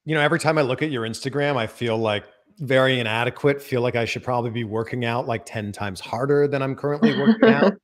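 A man in his thirties from Canada hosts a relaxed interview at a desk microphone in a small studio. He talks in a friendly, energetic way and laughs easily. His voice sits at an average pitch for a man. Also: quick pace. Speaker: interview host. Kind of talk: casual video interview. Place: small home studio indoors.

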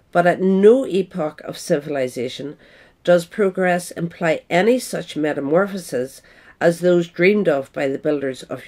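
A woman reading a scripted essay aloud as a narrator, in a measured, formal manner. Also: deep voice, darkish tone. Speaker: audiobook reader.

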